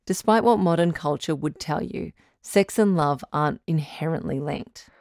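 A woman playing a calm, reassuring doctor who hosts a radio relationship advice show, speaking in a measured, counselling way. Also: low voice, no room sound, studio quality. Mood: gently advising. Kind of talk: audio drama radio call-in acting.

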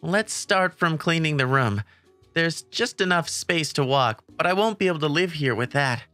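Woman voicing a timid, flustered boy, bright and expressive. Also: deep voice, moderate pace. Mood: wistful narration. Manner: stammering, easily flustered. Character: timid, flustered, kindhearted teenage boy narrating his own story. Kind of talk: manga comic dub with first-person narration. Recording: background music under the voice.

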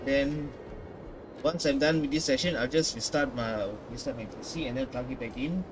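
A man's voice: mid-range voice, steady tone, slow, music in the background. A man giving casual gaming playthrough commentary, rambling and repeating himself. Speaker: gaming streamer.

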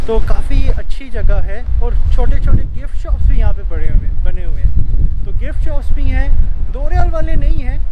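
Pakistani man vlogging on a loud street. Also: very high voice. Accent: Pakistani Urdu. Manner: chatty, breezy, talks straight to camera. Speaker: travel vlogger. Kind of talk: casual travel vlog chat. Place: outdoor shopping plaza. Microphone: camera held at arm's length. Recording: loud street noise outdoors.

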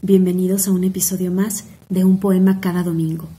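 Mexican woman, young, poetry host, mellow voice, rhythmic expressive recital, close phone mic at home. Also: fairly low pitch, a little fast. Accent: Mexican Spanish. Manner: expressive, rhythmic, savoring each word. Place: home room indoors.

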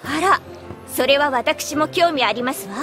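A woman's voice: high-pitched, animated, unhurried, over music. A woman playing a polite young princess with elegant, formal ladylike speech in an anime audio drama. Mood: elegant, curious. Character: refined, well-bred young princess, polite and curious.

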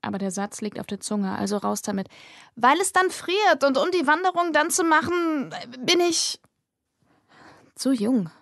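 A woman voicing a defiant fourteen-year-old girl giving a nervous speech in an audiobook, with a slightly gravelly voice. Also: sits high, animated, a little slow, a bit bright, no room sound, clean studio sound, voice pushed up, full character voice. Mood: rushed, pleading.

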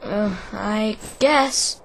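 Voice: medium pitch, extremely slow, gravelly, exaggerated voice. An actor voicing a plucky, impulsive teenage boy hero in a boyish way. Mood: groggy.

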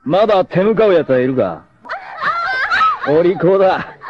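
Cocky, drawling free-spirited rogue fighter. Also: male voice, high-pitched, expressive, unhurried, raised voice, background noise. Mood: boastful, playful. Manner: cocky, drawling, rough masculine speech. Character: carefree roguish young martial artist, cocky free-spirited womanizer. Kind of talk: dramatic 1980s anime voice acting. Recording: dull lo-fi old TV audio.

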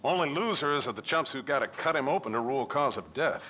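Jaded male coroner, dry sardonic wit, forceful bright voice, video game cutscene, faint background hum. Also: mid-range voice, expressive, fast talker.

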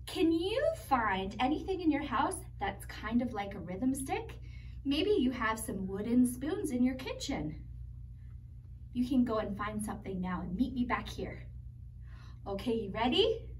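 A woman's voice: on the high side, very animated, unhurried, darkish tone. A woman in her thirties teaching a children's music class, singing a sing-along song and making playful animal and robot sounds. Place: studio set with plants and toys, indoors. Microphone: camera a few metres away. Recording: distant mic, faint room noise.

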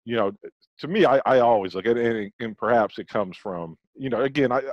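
A man co-hosting over a video call on a headset, talking in halting bursts with repeated restarts. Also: mid-range voice, dry recording, dull audio. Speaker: podcast co-host. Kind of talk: casual video-call chat.

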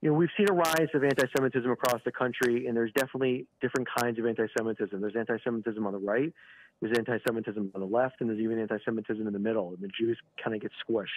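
A man giving a live news interview by phone, halting and repeating words, often saying "you know". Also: mid-range voice, steady tone. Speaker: regional director of an advocacy organisation, interview guest by phone. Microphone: telephone. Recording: phone call with clear background noise.

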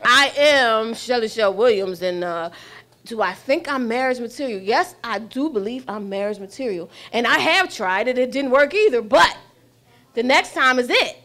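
A woman's voice: fairly high pitch, expressive, normal speed, a bit bright. A woman hosting a casual panel chat, playful and nosy, laughing often, speaking into a handheld mic. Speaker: host. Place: restaurant seating area.

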